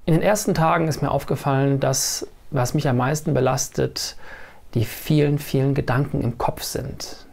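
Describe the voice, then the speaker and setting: A man giving a reflective, measured voice-over narration for his own vlog. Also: mid-range voice, gravelly, darkish tone. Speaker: vlogger.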